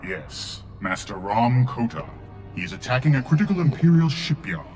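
An actor playing a cold, commanding cyborg dark lord in a video game, imperious and terse. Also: male voice, mid-range voice, very animated, normal speed, faint music behind, lightly acted. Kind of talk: video game cutscene acting.

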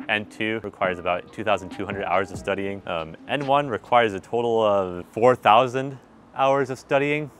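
A young man in his twenties talking straight to the camera in a wry, animated vlog, recorded outdoors on a city street at night with a clip-on mic. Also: mid-range voice. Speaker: vlogger. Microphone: small clip-on mic on his shirt.

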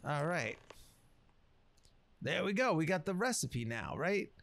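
Animated man casually narrating a video game. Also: on the high side, unhurried, no echo. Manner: folksy slangy speech, muttering to himself. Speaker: gameplay streamer. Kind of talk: casual game commentary in a loose roleplay.

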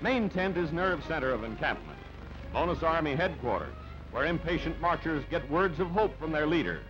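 Dull-sounding vintage newsreel man, high-pitched. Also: sing-song, moderate pace. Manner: clipped, punchy, dramatic delivery. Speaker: newsreel narrator. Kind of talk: scripted newsreel narration. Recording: dull band-limited old newsreel soundtrack. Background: music.

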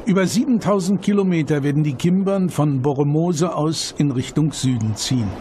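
A man reading scripted documentary narration with measured, dramatic phrasing and rhetorical questions. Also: fairly high pitch, background noise. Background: background music.

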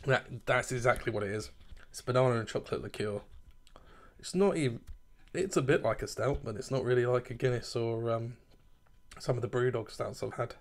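Man with a gravelly voice. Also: mid-range voice, fairly quick. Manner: chatty and rambling, laughs at himself. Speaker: beer reviewer. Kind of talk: casual chat to camera. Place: kitchen at home, indoors.

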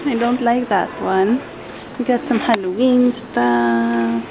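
A young woman with a high, bright voice talks slowly and chattily into a handheld camera at arm's length, giggling often and mixing in Tagalog. Loud street and mall noise runs underneath her. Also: very animated, vocal fry. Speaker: vlogger. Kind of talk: casual vlog chat. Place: outdoors on a bridge, then inside a mall and shops.

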